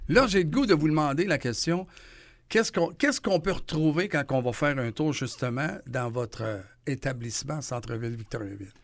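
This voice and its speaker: A man's voice: mid-range voice, lively intonation, moderate pace. A man with a Quebec French accent, hosting a morning radio interview in a chatty, enthusiastic, rambling way. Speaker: radio host.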